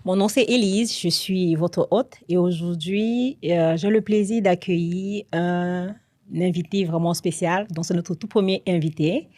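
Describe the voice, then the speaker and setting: A woman's voice: on the low side, a little slow, a little mellow, no room sound. A woman hosting a podcast, putting her guest at ease in a friendly, reassuring way. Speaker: podcast host. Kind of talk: casual interview.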